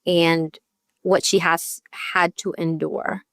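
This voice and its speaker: A woman with a smooth, slightly low voice, recorded dry. She is a guest from El Salvador, raised partly in Virginia, sharing personal history candidly. Her phrasing is conversational and dotted with little check-ins. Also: flat delivery, takes it slowly, no room sound. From born in El Salvador, raised partly in Northern Virginia. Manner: candid, conversational, peppered with "you know" and "right?". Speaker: podcast guest, youth advocate.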